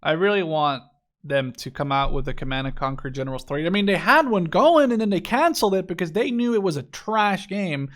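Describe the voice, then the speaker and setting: A man's voice: sits high, animated, a little fast, a bit bright. A man in his twenties from the Netherlands giving casual, rambling, enthusiastic commentary on a video game. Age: 20s. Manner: enthusiastic, informal, swearing. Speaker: gaming video host.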